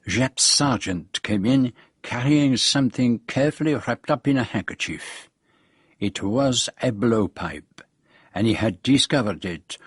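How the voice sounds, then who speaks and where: An actor playing a famous foreign detective in a radio drama, with a French accent, precise, courteous, self-assured and dryly witty. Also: male voice, mid-range voice, husky, no echo, clean studio sound. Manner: precise, courteous, sprinkles speech with French phrases. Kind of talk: radio drama dialogue and narration.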